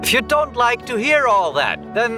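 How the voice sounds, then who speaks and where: A man's voice: very high-pitched, sing-song, moderate pace. An actor playing a bewildered wandering man who narrates his own journey in a scripted radio play, with music behind him. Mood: insistent. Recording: music bed under the voice.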